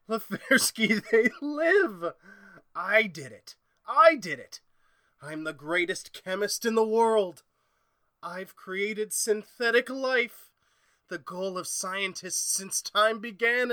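An actor playing an irascible, egomaniacal chemist in old-style horror radio drama, imperious and melodramatic. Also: male voice, high voice, animated, slow pace, bright, forceful tone, no echo, clean studio sound, heavily acted. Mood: manic, gloating.

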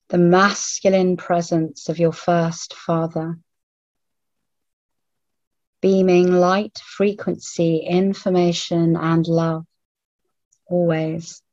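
A woman leading a guided meditation in a hushed, soothing manner, leaving long pauses between short phrases. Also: on the low side. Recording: roomy, phone-like narrowband audio.

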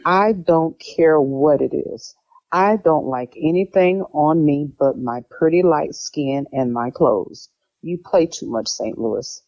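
Sassy young sister acted by a woman, low pitch, dull recording, music. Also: slow, darkish tone. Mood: indignant. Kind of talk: audio drama dialogue. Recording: slightly dull audio, music underneath.

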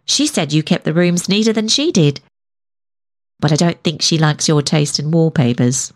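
A woman's voice: low-pitched, animated, normal speed, a little mellow. A woman reader voicing a vague but positive female house guest in a story, matter-of-fact and self-important.

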